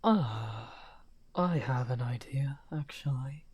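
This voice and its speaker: A man playing a lonely, misunderstood evil wizard in an ASMR roleplay, speaking softly and courteously in a breathy, whispery, halting voice. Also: mid-range voice, lively intonation. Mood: hopeful, coaxing. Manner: slow, halting, musing.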